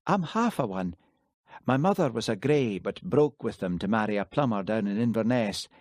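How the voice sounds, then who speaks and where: A man voicing a young woman from the Highlands, reserved and evasive, speaking in a raised, lighter voice. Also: expressive, moderate pace, a little mellow, no echo, studio quality.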